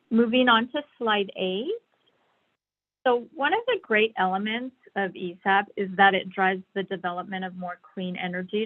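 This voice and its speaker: A woman giving a slide presentation on a conference call over a thin, tinny phone line. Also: medium pitch. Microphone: phone or online call.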